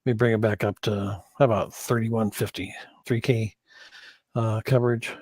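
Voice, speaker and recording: A man giving an informal screen-share tutorial as an instructor, thinking aloud in a halting way and correcting himself as he goes. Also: lowish voice, steady tone, a little mellow, no room sound. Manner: halting, hesitant delivery with self-corrections. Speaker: course instructor in church sound.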